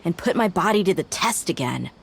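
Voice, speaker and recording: A woman's voice: fairly low pitch, animated, a little fast, creaky, very noisy. An actress playing a bold, adventurous female bodyguard in a video game, casual and self-assured. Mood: confident, teasing. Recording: faint music behind the voice.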